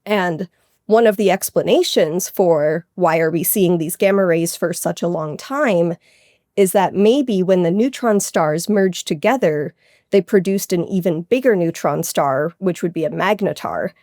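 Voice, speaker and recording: A woman's voice: on the low side, unhurried, darkish tone, dry recording, clean studio sound. A woman scientist explaining her research informally and with enthusiasm in a conversational interview. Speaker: guest scientist, astrophysics researcher.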